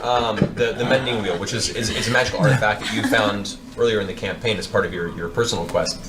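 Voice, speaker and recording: A man with a fairly low voice narrates a tabletop fantasy game as game master. He improvises vivid descriptions and tells them with a storyteller's sense of drama. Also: fairly even intonation, quick pace, noisy background.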